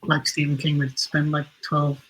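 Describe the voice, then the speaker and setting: A man's voice: on the high side, monotone, normal speed, a bit bright, breathy edge, rough recording. A man being interviewed as an audio drama writer, talking reflectively and a little hesitantly as he thinks aloud.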